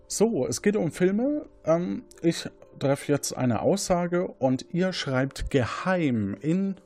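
Male quiz host, animated pitch, slow pace, game presentation, faint music behind.

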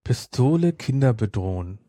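Mellow man chatting casually. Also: medium pitch, sing-song, moderate pace, no room sound. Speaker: podcast host. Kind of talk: casual podcast chat.

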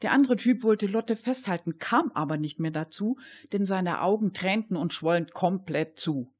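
A woman's voice: fairly low pitch, expressive, normal speed, slightly rough. A woman narrating a crime radio play in scripted storytelling style.

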